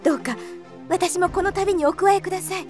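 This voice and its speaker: A devoted young maiden in an anime audio drama. Her voice is high and soft-spoken, earnest and polite, over a musical backdrop. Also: fairly quick, music in the background. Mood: earnest, pleading. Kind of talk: Japanese anime-style audio drama acting.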